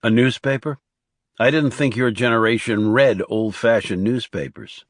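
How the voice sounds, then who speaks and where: A man's voice: medium pitch, steady tone, normal speed, no room sound, studio quality, lightly acted. A man voicing a terse, guarded and protective government assassin in audiobook dialogue, serious in manner. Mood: firm.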